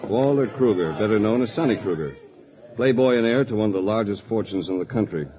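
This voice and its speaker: A man's voice: lowish voice, lively intonation, a little fast, mellow, natural voice. A man playing a dry, urbane adventurer in a 1940s radio drama. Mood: wry. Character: wry, worldly male adventurer, former lawyer, narrating. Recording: muffled old radio, crowd noise behind.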